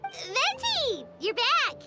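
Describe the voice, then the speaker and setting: A tiny fairy girl companion from a fantasy video game, childlike and excitable. Her voice is extremely high and leaps all over in pitch, full of bubbly exclamation. Music plays clearly behind her. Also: extremely slow, full character voice. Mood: excited. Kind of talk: video game fan dub.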